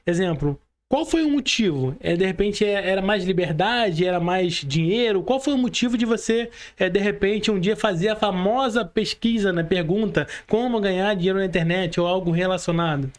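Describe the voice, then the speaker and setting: A man's voice: high-pitched, moderate pace, hardly any pauses. A man with a Brazilian Portuguese accent hosting a casual podcast interview, addressing people in chummy, informal slang.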